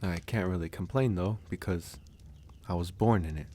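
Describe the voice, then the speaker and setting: A man playing a calm, candid, easygoing werewolf in an intimate ASMR audio roleplay, musing unhurriedly with sighs and pauses. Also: low voice, slight background noise, natural voice. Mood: musing, storytelling.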